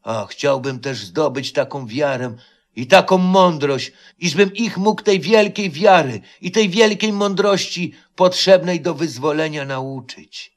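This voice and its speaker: A man's voice: fairly high pitch, lively intonation, a little slow. A man voicing an awestruck, devout young shepherd boy in a legend, earnest and declamatory. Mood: fervent, pleading.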